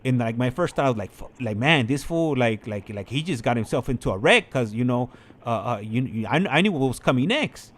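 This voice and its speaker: A man's voice: mid-range voice, expressive, a little fast. An American man chatting casually as a channel host, telling personal stories in a rambling, repetitive way full of filler phrases. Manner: rambling, repetitive, peppered with "like" and "you know".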